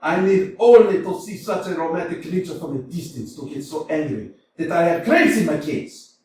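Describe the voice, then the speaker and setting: A man's voice: a little high, expressive, moderate pace, close to an everyday voice. Smirnov, a man playing a blustering, irate landowner. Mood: ranting. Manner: ranting, exclamatory, swinging between mutters and shouts. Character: irate middle-aged male landowner chasing a debt, blustering. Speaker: actor in a community staged reading. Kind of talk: staged play reading, theatrical. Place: small community theater stage. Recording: echoey theater from far off, faint hiss.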